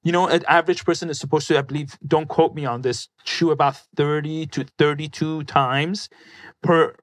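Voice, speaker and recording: A man with a somewhat high voice explains things eagerly, pitching his work with steady enthusiasm. The studio sound is close and dry, with no room echo. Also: normal speed. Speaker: dentist guest. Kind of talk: casual chat. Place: colorful studio set. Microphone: studio mic on boom arm, headphones.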